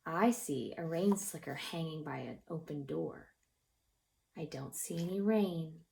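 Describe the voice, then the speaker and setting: Creaky-voiced woman reading to young children. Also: low voice, a little slow. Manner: expressive, gently encouraging, prompting the listeners with questions. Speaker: childcare teacher reading a picture book aloud. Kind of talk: read-aloud storytime for young children, narrating pictures and asking questions.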